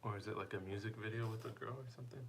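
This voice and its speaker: A man's voice: fairly low pitch, fairly even intonation, very fast, a little hiss or hum. Playful young man chatting to a stream. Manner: playful, teasing, asks viewers questions. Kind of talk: casual live-stream chat reading viewer comments.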